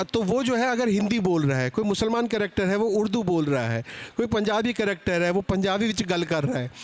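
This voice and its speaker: Guy hosting a panel talk, pretty high voice, polite and a bit teasing. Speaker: panel moderator. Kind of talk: moderating a live seminar discussion. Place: live seminar on stage.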